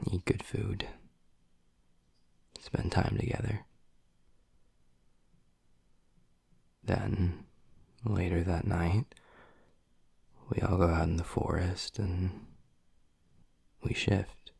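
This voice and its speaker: A man playing a tender, gruff young werewolf boyfriend in an intimate audio roleplay, speaking in a hushed, husky, earnest way close to the mic. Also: no room sound, exaggerated voice. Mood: earnest, reverent. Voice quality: low, gravelly, husky, breathy. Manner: hushed, slow, earnest, halting. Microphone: close, dry microphone.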